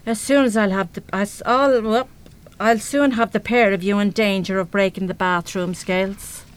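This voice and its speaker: A woman's voice: medium pitch, fairly even intonation, normal speed, clean tone, close to an everyday voice. A woman playing a brash, blunt Irish mother. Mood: breezy, bossy. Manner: blunt, colloquial, mildly sweary. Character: brash, uneducated, big-hearted working-class mother, blunt and salty. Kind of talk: live radio play acting.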